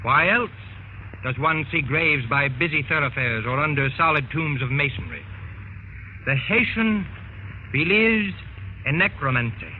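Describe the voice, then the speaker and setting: A learned, serious investigator telling a dark tale. His voice is on the high side and theatrical, in old radio style. The recording is narrow and tinny, with faint noise. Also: very animated, unhurried, long pauses. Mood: solemn, reflective. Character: grave, learned male investigator recounting horrors. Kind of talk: old-time radio drama acting.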